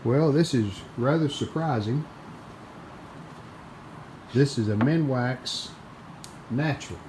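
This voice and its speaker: A man giving casual, plainspoken hands-on narration as the host of a repair video, unscripted and pausing mid-sentence. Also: medium pitch. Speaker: host of a radio restoration video channel. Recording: clear background noise, room ambience.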